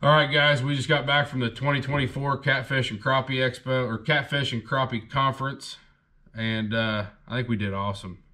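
A man's voice: mid-range voice, fairly even intonation, normal speed, pushed and bright. A young man hosting a fishing channel, chatting casually and rambling a bit as he shows off gear. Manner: laid-back, rambling with fillers. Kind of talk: casual haul video chat.

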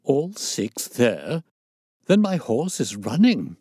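Man playing irascible older colonel, storybook gentleman, raised pitch, very animated, indignant, emphatic, dry close sound. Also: moderate pace, breathy edge, no echo. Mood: agitated. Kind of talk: audiobook character dialogue.

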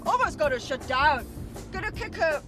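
A woman's voice: sits high, animated, moderate pace. A woman acting in a sitcom scene inside a car, giggling and fumbling her lines, with music under the clip. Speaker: actress in a sitcom outtake. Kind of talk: comedy acting with slips.

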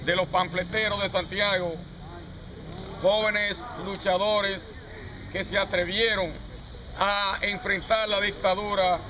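A man with a Dominican Spanish accent, formally introducing an outdoor memorial ceremony as master of ceremonies. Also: sits high. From Dominican Republic. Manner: slow, formal, announcing. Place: outdoor plaza. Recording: noisy outdoor street recording. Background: street traffic noise.

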